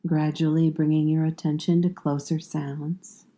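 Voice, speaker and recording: Very mellow, slow woman guiding meditation. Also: sits low. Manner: calm, soothing, measured with long pauses. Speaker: yoga nidra instructor. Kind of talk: guided meditation, scripted slow instructions.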